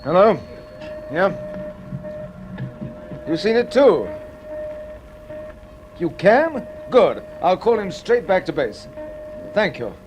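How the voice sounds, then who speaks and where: A man's voice: high voice, big pitch swings, slow, pauses often, music in the background, full character voice. An actor with a British accent playing a composed, businesslike male space mission commander in an old-time radio drama. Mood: focused. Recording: slightly noisy vintage radio recording.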